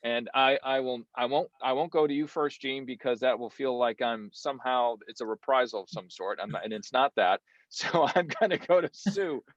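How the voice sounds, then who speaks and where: Man, panel moderator, chatty and joking, mid-pitched, dry but slightly dull lo-fi audio. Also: moderate pace, few pauses, no echo.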